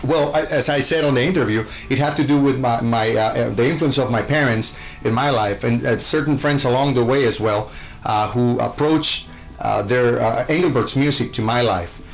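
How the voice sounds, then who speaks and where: A man's voice: medium pitch, moderate pace, vocal fry, poor audio. A man from Costa Rica, a show host, answering interview questions with gushing enthusiasm, full of fillers and restarts.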